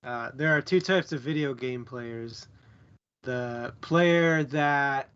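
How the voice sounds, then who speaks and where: Male co-host for casual book talk: deliberate, very slow delivery, informal slang, slightly dull audio. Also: mid-range voice, fairly bright tone, clean tone. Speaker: book club stream co-host.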